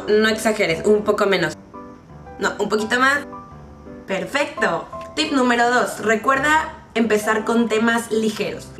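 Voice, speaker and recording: A young woman with a Mexican accent, chatting to camera in a playful, expressive way as she gives advice. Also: mid-range voice. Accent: Mexican Spanish. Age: young adult. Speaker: vlogger. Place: small bedroom, indoors. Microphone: camera mic at a short distance. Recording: roomy bedroom, distant camera mic, music.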